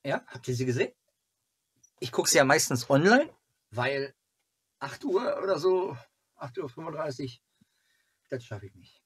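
Halting, slightly husky man chatting casually. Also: fairly high pitch, animated, fairly bright tone. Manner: halting, rambling delivery. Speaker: fan club video host. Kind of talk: casual chat to camera. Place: small cluttered home room.

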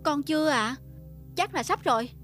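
A fretful young wife. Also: female voice, high-pitched, animated, normal speed, over music. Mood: sulky. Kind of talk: cartoon voice acting.

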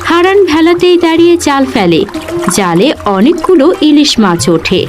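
A woman's voice: high voice, lively intonation, moderate pace. A woman narrating a scripted children's cartoon story. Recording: loud music behind the voice. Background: music.

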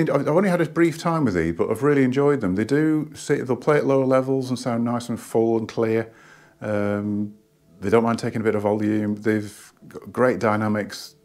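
A hoarse man in his fifties with a northern English accent, talking casually into a camera held close in a living room. His voice sits fairly low, and he rambles and restarts often, dropping in plenty of ums. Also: a little mellow. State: hoarse from a cold. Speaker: hi-fi shop owner reviewing speakers. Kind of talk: casual product review. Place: living room at home, indoors.

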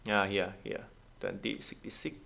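A man who is not a native English speaker, giving a casual gameplay walkthrough in halting, repetitive short phrases. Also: fairly low pitch, gravelly, bright, forceful tone. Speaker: gaming guide host.

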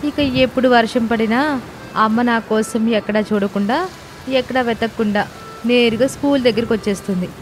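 A woman voicing a sweet, obedient young village daughter with a soft-hearted, pleading manner. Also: on the high side, moderate pace, lightly acted. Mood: complaining, pleading. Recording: music and rain sound effects behind.

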